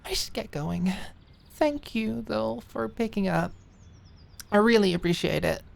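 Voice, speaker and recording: Woman voicing a high-pitched, anxious young woman. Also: unhurried, creaky, darkish tone, roomy sound. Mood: shaky, grateful. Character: anxious, polite young woman fashion student, high and earnest. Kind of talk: audiobook character acting.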